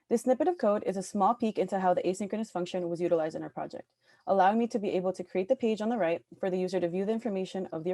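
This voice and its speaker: A woman's voice: fairly low pitch, dark tone, dry recording, clean studio sound. A young woman from New York, originally born in Peru, reading a prepared project presentation as product manager. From born in Peru, raised in New York. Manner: reads quickly from a prepared script.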